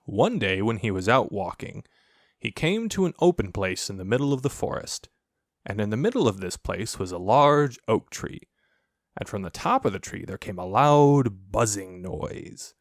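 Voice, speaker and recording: A man reading a children's story aloud as an audiobook narrator, expressive and playful in his storytelling. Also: lowish voice, moderate pace, dry recording, studio quality. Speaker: audiobook reader.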